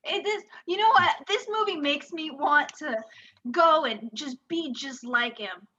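A woman's voice: high voice, unhurried, sharp tone, slight background noise. A woman playing a hapless, henpecked husband who rambles in a meek, self-deprecating way in an improvised comedy radio play. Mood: confiding, starry-eyed. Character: hapless henpecked husband, movie-obsessed, sheepish yet plotting. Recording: dull lo-fi audio.

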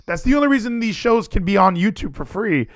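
Lively, chatty man with a dry sound. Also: high voice, dry recording. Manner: animated, chatty, quick asides. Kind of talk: casual wrestling chat.

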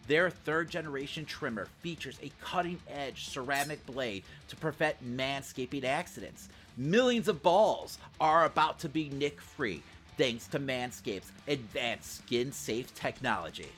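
A man's voice: mid-range voice, lively intonation, unhurried, hardly any pauses, a bit bright. Chatty, joking male podcast host, faint music. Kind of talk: casual nerd culture podcast chat.

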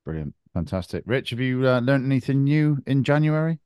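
A man hosting a podcast, encouraging his guest and briskly moving on to the next topic. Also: mid-range voice, very animated, darkish tone, clear, resonant tone, no room sound, studio quality. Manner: brisk, encouraging, moves the talk along. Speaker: podcast host. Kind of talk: casual podcast chat.

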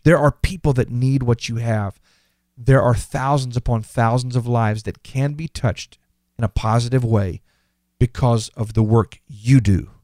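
A man's voice: medium pitch, darkish tone, no echo, clean studio sound. A fifty-year-old man hosting a podcast, giving an earnest, reflective and motivational talk that repeats phrases for emphasis. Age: 50s. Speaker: podcast host.

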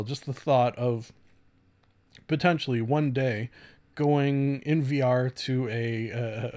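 A man in his thirties hosting a casual solo podcast, talking in a halting, rambling way with offhand swearing and frequent self-corrections. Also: medium pitch, a little mellow. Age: 30s. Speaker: podcast host.

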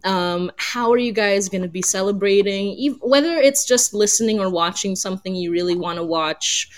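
A woman's voice: mid-range voice, normal speed. A woman hosting a casual group chat on a live podcast, talking in a giggly, enthusiastic manner. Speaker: podcast host and creator.

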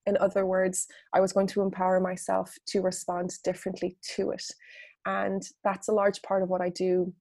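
A woman's voice: medium pitch, monotone, normal speed, vocal fry, a little mellow, no echo, clean studio sound. A woman coach and author, talking earnestly through a personal story in an interview answer. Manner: earnest, storytelling, frequent restarts.

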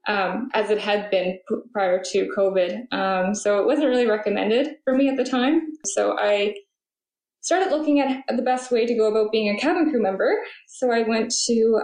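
Young woman guest, relaxed chatty answers with fillers, mid-pitched, echoey room. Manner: chatty, many ums and uhs. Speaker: guest, flight attendant. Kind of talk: casual interview answers. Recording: roomy echo.

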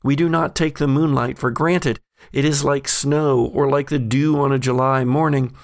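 Gravelly-voiced man hosting a podcast. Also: medium pitch, fairly even intonation, moderate pace, dry recording, clean studio sound. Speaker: podcast host. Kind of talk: scripted reading and casual host chat.

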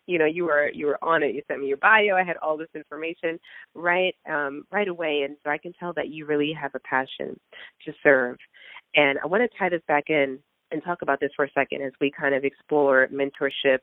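A man's voice: on the high side, no room sound. Male interview host, supportive, tinny phone-line recording. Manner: affirming, backchannels often, speaks with some restarts. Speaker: podcast host.

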